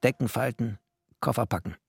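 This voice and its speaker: An actor playing a bookish, tender and wry first-person narrator with poetic, deliberate phrasing in a literary radio drama. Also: male voice, medium pitch, gravelly, faint music behind.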